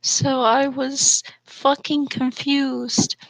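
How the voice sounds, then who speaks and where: A woman's voice: high voice, little pitch movement, very slow, no room sound, rough recording. A woman reading fan fiction aloud for comedy, breaking into casual, playfully exasperated commentary.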